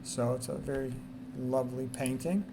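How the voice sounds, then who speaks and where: A man's voice: medium pitch, steady tone, moderate pace, creaky. A man presenting informally, reading quotations aloud and adding emphatic commentary, often repeating key statements. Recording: noticeable background noise.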